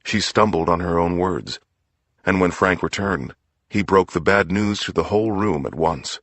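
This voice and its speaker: Male audiobook narrator for crime fiction: very low register, husky, dry studio sound. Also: moderate pace, fairly bright tone, no echo. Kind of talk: scripted crime story narration.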